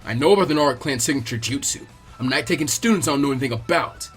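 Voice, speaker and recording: A man voicing a gruff, derisive, smirking ninja instructor in an anime fan story. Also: medium pitch, animated, very fast, faint music behind. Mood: caught off guard.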